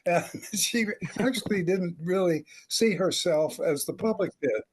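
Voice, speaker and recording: An older man with a somewhat high-pitched voice talks as a veteran writer on a panel. He rambles through wry anecdotes and chuckles as he goes. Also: measured pace. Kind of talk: animated anecdotal panel talk.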